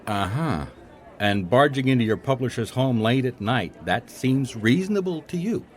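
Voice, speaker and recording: An actor playing a wry, dogged private detective in a radio drama, narrating and speaking in a dry, understated way. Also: male voice, mid-range voice, expressive, normal speed, close to an everyday voice. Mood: dry, probing.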